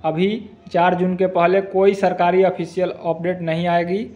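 A man's voice: sits high, little pitch movement, moderate pace, warm tone, dull audio. A young man giving an informal explanation to his viewers, recorded on a phone at arm's length indoors. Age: young adult. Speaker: exam-update video host. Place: indoor room at home.

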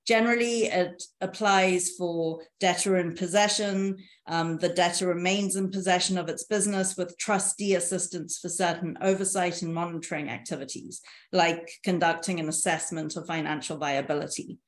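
A woman in her thirties presenting slides on a video call, in a measured, explanatory way. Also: on the low side, steady tone. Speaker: panelist presenting on insolvency reform. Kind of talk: presentation over shared slides. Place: indoor room, video call. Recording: echoey room on a video call.

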